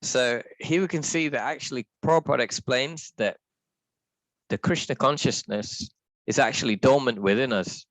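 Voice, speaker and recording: A man with a deep voice leading a reflective scripture reading, talking in a thoughtful, halting way with restarts. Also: fairly bright tone, dry recording, rough recording. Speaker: scripture class leader.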